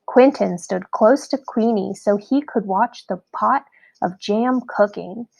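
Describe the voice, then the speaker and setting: Female storyteller for children's read-aloud: expressive, unhurried delivery, touches of vocal fry. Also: medium pitch, poor audio. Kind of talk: scripted children's story narration.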